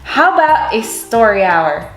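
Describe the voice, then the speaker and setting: A woman voicing a sweet, gentle young female teacher who speaks kindly. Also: a little high, sing-song, measured pace, reverberant, faint music behind, low-quality recording. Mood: cheerful. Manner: sweet, soft-spoken.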